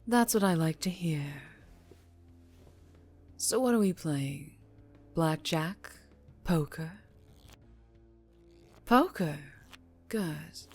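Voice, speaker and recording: A woman acting as a slow, low-voiced female mafia boss. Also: lively intonation, faint music behind, exaggerated voice. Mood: playful, inviting. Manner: slow, deliberate, polite with veiled menace. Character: dangerously charming, teasing female mafia boss. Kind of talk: ASMR roleplay acting.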